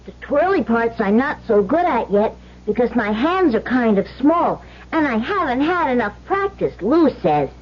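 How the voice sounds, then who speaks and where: A woman acting a playful young boy in an old radio play. The voice is high and swoops with excitement, and the recording is dull and lo-fi. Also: moderate pace, breathy edge. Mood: eager. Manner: excitable, childlike. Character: eager, playful little boy.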